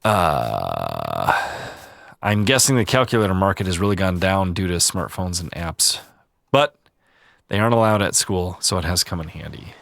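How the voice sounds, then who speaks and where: A man hosting a relaxed gaming video, chatting calmly and reading viewer comments, a little stuffy-nosed. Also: low voice, moderate pace, gravelly, dry recording, clean studio sound. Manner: calm, easygoing, chatty. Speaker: gaming channel host.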